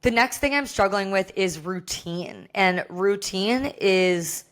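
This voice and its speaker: A young American woman with a hoarse voice, talking candidly and casually as the solo host of a podcast. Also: mid-range voice, slow. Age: young adult. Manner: chatty, candid, frequent "like".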